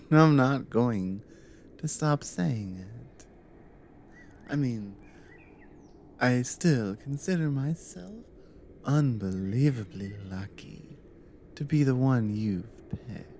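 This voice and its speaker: A woman acts out a devoted Nordic adventurer wife in an intimate ASMR roleplay. Her voice is soft, breathy and mellow, slipping often into whispers. She takes her time, teasing fondly, over faint background noise. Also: very deep voice, full character voice. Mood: playful teasing. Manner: teasing, unhurried, affectionate.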